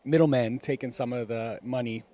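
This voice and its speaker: A man from Canada who works as an entertainer and actor, explaining things in a measured way in a street interview. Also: medium pitch, fairly even intonation, dark tone. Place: city street outdoors. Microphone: handheld mic.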